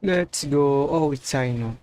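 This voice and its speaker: A man's voice: medium pitch, a little slow, dark tone, no room sound. A man giving casual gameplay commentary as a livestreamer. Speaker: game livestreamer. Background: game music.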